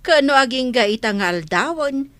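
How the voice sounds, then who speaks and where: A woman's voice: high voice, lively intonation, normal speed, fairly bright tone, no room sound. A woman with an Ilocano accent playing a chatty, complaining village neighbour in a comedy radio drama. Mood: chatty, sympathetic.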